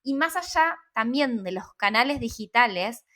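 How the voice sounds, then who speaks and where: A woman's voice: on the high side, lively intonation, normal speed, pushed and bright. A woman with an Argentine accent in casual interview conversation, thinking aloud informally as she repeats and rephrases. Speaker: interviewer and personal branding consultant.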